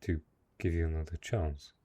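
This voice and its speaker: Guy voicing a lonely possessive dark knight, deep dark voice, slow deliberate delivery, fantasy audio roleplay. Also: fairly even intonation, husky. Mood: soft, coaxing. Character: brooding, possessive, lonely dark knight, male castle host. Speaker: voice actor. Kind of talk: dramatic roleplay audio monologue.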